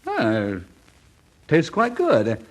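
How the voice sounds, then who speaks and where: A man playing a feckless, amorous young English gentleman in a mid-century radio comedy, with a hesitant, stammering drawl. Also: on the high side, wildly swooping pitch, very slow, heavily acted. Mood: tipsy, smitten.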